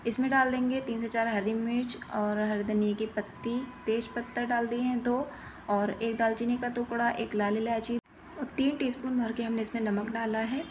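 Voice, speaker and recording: A woman's voice: on the high side, slight background noise. A woman giving plain-spoken, step-by-step instructions in a recipe voice-over as host of a cooking channel.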